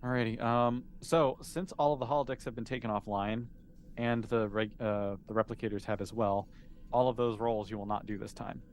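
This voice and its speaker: A man's voice: fairly low pitch, fairly even intonation, moderate pace, soft background music. A man acting as game master, casually narrating a tabletop game and calling for rolls.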